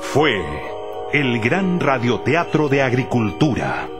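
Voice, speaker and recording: A man's voice: mid-range voice, lively intonation, slow pace, over loud music. A man working as a radio announcer, reading scripted programme credits.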